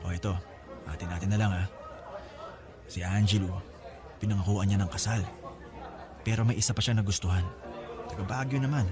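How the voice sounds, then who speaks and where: A man acts a breezy older brother in a radio drama. His voice is on the low side, casual and frank, with a teasing, talkative manner over clearly audible music. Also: fairly even intonation, brisk, long pauses, crowd noise, natural voice. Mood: teasing, confiding. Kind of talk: Filipino radio-style drama dialogue.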